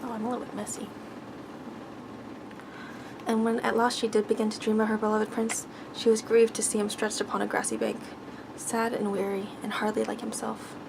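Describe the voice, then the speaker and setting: A soft-spoken woman gently reading a bedtime story in an ASMR style, measured and now and then stumbling over words. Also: a little high, level tone, vocal fry, noisy background. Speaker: ASMR host reading aloud.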